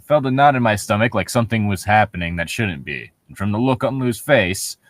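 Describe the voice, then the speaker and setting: A man's voice: lowish voice, lively intonation, fairly quick, no room sound, studio quality. A man reading aloud casually as a comedy co-host, breaking off for sarcastic, jokey banter.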